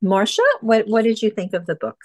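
A woman's voice: medium pitch, fairly even intonation, brisk, a little mellow. A woman casually moderating a book discussion in an encouraging, appreciative way. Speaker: book group host.

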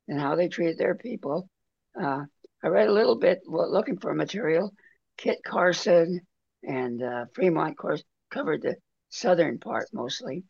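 A man talking casually in a book discussion on a video call, halting and rambling as he goes. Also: fairly high pitch, creaky, a little mellow, breathy edge, no room sound. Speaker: book club member. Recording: dull lo-fi video call audio.

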